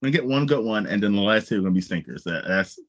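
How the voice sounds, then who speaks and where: American man, podcast interviewer, casual, enthusiastic, emphatic repetition, slightly lower-pitched, muffled lo-fi recording. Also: animated, racing, fairly bright tone. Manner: enthusiastic, repeats phrases for emphasis.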